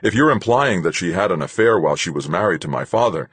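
A man's voice: low-pitched, animated, quick pace, fairly bright tone, husky, no room sound, voice pushed up, full character voice. A man voicing an earnest, indignant young pilot in an audiobook, with an anxious, defensive manner.

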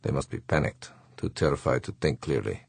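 A man voicing a cold, calculating, menacing killer in a measured way, for a space adventure audiobook. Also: a bass voice, vocal fry, slightly rough, no room sound, exaggerated voice. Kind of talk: audiobook character thoughts.

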